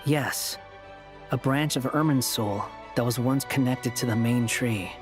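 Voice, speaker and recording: A man voicing an aloof, guarded young puppet wanderer in a video game, speaking in a cool, measured way. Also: mid-range voice, lightly acted. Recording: game music behind.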